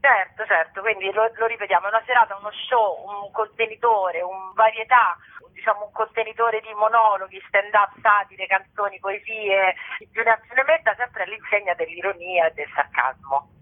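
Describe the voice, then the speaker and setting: A woman's voice: mid-range voice, moderate pace, few pauses. A woman chatting casually by telephone as an actress interviewed on the radio. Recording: tinny, narrow telephone line.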